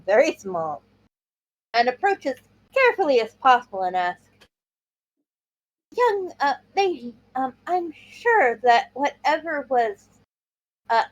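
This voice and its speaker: Animated woman with a high voice. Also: highs cut off. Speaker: player in a tabletop roleplay game. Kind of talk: improvised roleplay narration and dialogue.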